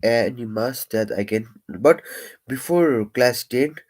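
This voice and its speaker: Slow, halting young man who stammers. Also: mid-range voice, a little mellow. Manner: stammers, halting with many fillers and restarts. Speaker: live streamer. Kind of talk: casual reflective live-stream monologue.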